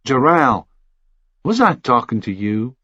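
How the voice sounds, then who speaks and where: A man voicing a cold, formal Texan official in an audiobook, measured, condescending and threatening. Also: mid-range voice, very animated, pushed and bright, husky, no echo, highs cut off, full character voice.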